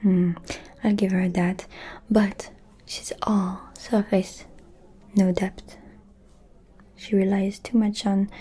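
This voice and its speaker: A woman playing a scheming, seductive aristocratic vampire in an ASMR roleplay, confiding in a soft breathy whisper with insinuating pauses. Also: medium pitch. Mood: disdainful, knowing. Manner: slow, deliberate, insinuating with pauses.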